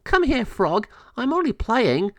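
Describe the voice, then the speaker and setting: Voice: mid-range voice, sing-song, unhurried, no room sound, studio quality, voice pushed up. A performer voicing a carefree young male cat in a storybook, with a playful, breezy manner.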